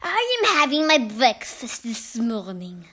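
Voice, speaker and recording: A woman's voice: fairly high pitch, very animated, fairly bright tone, husky, heavily acted. Shrieking, tyrannical grand witch. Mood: enraged, screaming. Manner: imperious, shrieking, drawn-out menacing delivery. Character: furious, tyrannical Grand High Witch who loathes children.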